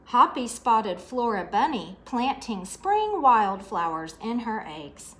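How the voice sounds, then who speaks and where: A woman's voice: a little high, sing-song, slow, fairly bright tone. A woman storyteller reading a picture book aloud expressively to children, adding asides about the pictures.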